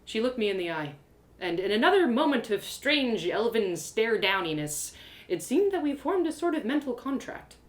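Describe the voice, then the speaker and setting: A woman narrating a fantasy story in the first person as an expressive live reading. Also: fairly high pitch, normal speed, echoey room.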